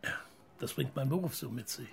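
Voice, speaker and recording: An actor playing an evasive investigative journalist in a radio crime drama, speaking hesitantly and precisely in German. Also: male voice, mid-range voice, expressive, brisk, creaky, slightly rough, exaggerated voice. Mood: explanatory, composed. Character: evasive male investigative journalist giving testimony.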